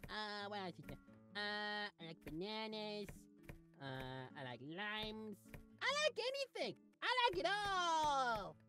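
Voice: mid-range voice, sing-song, takes it slowly, sharp tone, faint music behind, exaggerated voice. A performer voicing a timid, simple little sidekick creature, hesitant and stammering, in a comic gameplay series. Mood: dreamy, simple. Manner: hesitant, stammering, repeats words. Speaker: voiced sidekick character in a gaming series. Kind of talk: voice-acted gameplay comedy.

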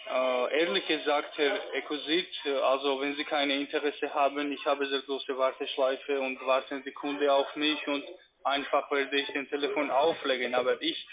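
A man with a foreign accent making a formal, halting phone sales call as a call-centre agent. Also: medium pitch, little pitch movement, phone-quality sound, crowd noise. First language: not German.

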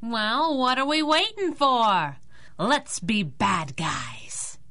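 A spirited female crime-fighting sidekick from a radio play. Her bright voice moves animatedly up and down, perky and teasing. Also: fairly high pitch, sing-song, very slow, full character voice. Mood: eager. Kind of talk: 1930s radio acting.

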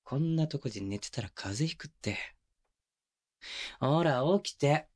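A man's voice: lively intonation, unhurried, no room sound. A man playing a teasing, doting young boyfriend in an intimate ASMR roleplay, talking casually and playfully with bits of baby talk, close to a binaural mic. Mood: flustered, playful. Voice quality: mid-low voice. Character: teasing, doting young boyfriend babying his exhausted, clingy girlfriend. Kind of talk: intimate ASMR roleplay drama, addressed to the listener. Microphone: close binaural microphone.

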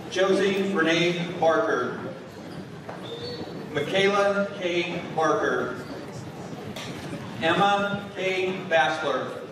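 A man's voice: high voice, noisy background. Man reading names in an echoing gym. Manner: measured, deliberate. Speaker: school official reading graduate names. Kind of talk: formal announcement and name reading. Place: large school gymnasium. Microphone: podium microphone, heard from the back of the room. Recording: echoey gym, heard from far off.

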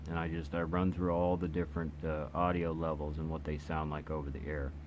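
Very deep-voiced man, noisy recording. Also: flat delivery, brisk. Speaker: hobbyist radio reviewer. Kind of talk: casual product demonstration. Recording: noisy home video recording. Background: clear background noise.

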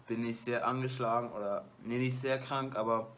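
A man's voice: medium pitch, steady tone, normal speed, fairly bright tone, a little hiss or hum. A teenage boy vlogging from his bedroom, rambling casually to a webcam with lots of fillers and restarts. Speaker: YouTuber. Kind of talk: casual movie-ranking vlog. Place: small bedroom lit with colored LED lights.

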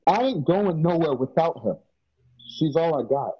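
A man playing a shaken, stubbornly loyal protector in a radio drama, talking haltingly. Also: fairly high pitch, lively intonation, breathy edge. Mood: stubborn, devoted. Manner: halting, stammering. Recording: dull lo-fi video call.

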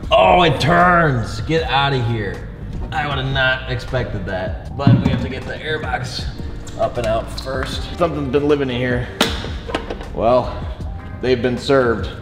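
A man's voice: medium pitch, a little slow. Young man chatting in an echoey garage. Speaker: car detailer and host. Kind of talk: casual vlog chat while working. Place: indoor garage workshop. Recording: echoey garage with music behind.

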